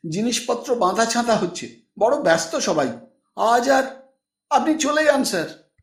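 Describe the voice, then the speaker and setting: A hurried schoolboy, acted by a male narrator, speaks simply and respectfully. The storybook voice is high and lively, with echo around it. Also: exaggerated voice. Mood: busy, apologetic. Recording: echoey room.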